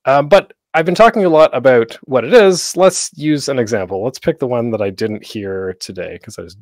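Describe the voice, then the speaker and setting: A man's voice: mid-range voice, lively intonation, moderate pace, no echo. A man giving an informal, conversational instructional talk as a presenter, with a self-deprecating manner.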